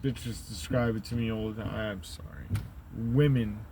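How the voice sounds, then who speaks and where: A man with a deep, rumbly voice who rambles through a profane, conspiratorial monologue and keeps tagging his points with "right?". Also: normal speed, warm tone, a little hiss or hum, rough recording. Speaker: channel host.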